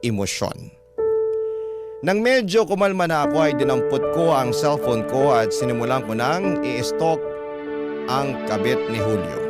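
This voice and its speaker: Male radio storyteller over loud music. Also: medium pitch. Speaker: radio host reading a listener's letter. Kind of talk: dramatic scripted first-person narration. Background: loud dramatic music.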